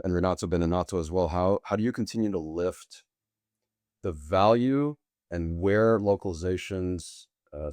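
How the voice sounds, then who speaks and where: Middle-aged man, very low pitch, warm dark tone, dry close sound, long deliberate answers. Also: dry recording. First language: bilingual with Portuguese. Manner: long winding sentences, deliberate. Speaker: guest, sales executive. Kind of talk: conversational interview answers.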